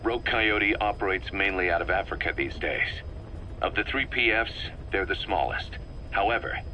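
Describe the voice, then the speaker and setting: A video game intelligence officer with a low, sharp-edged voice. He briefs his commander in a measured, grave, expository way. There is a light layer of noise on the recording. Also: poor audio. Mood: cautionary, informative.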